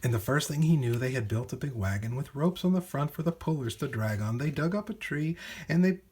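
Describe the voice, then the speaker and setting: Male children's storybook narrator: theatrical, rhythmic verse reading, lively intonation, slightly rough higher voice. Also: a little fast.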